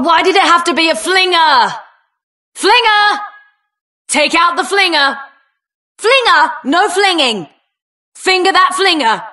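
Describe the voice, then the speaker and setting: A woman's voice: high voice, bright, forceful tone, heavily acted. A woman with a British accent playing a plucky, cheeky builder hero in a video game. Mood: exasperated, urgent. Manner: cheeky, plucky, punchy short exclamations.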